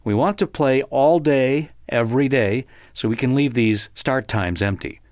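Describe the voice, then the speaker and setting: An American man narrating a software tutorial conversationally, explaining step by step in an informal, encouraging way. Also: medium pitch, a little slow, no room sound, studio quality.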